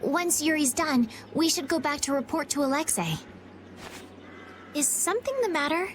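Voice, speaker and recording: Game heroine: woman as courteous young noblewoman, gentle, high-pitched, earnest. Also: normal speed, a little hiss or hum, natural voice. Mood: polite, concerned. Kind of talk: video game voice acting.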